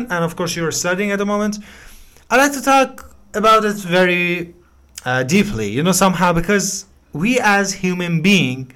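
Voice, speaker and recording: Encouraging young man, smooth voice. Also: on the high side, fairly bright tone. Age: 20s. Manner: encouraging, hesitant with many fillers and restarts. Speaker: English learner giving feedback. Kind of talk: casual voice message.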